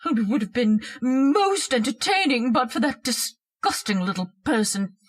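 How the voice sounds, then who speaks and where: Shrill, imperious society wife, swooping high. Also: female voice, lively intonation, a little slow. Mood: scornful, aggrieved. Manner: haughty, scolding, theatrical declaiming. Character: shrill, imperious, snobbish wife and party hostess. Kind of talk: old-time radio horror acting.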